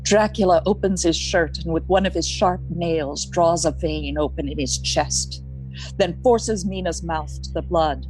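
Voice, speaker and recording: Woman, radio play narrator, lower register, dramatic scripted reading, light music behind. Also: unhurried, darkish tone, rough recording.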